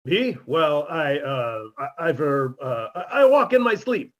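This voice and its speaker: A man's voice: a little high, very animated, a little slow, fairly bright tone, highs cut off. A man playing a shabby, self-pitying musician neighbor who delivers dry punchlines in a 1950s-style radio comedy. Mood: flustered.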